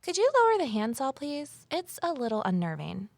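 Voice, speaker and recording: An actress playing an eager, easily flustered rookie conservation officer and amateur sleuth in an audio drama, earnest and inquisitive. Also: female voice, high voice, huge pitch swings, brisk, creaky, dry recording, exaggerated voice. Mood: nervous. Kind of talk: naturalistic audio drama acting with first-person narration.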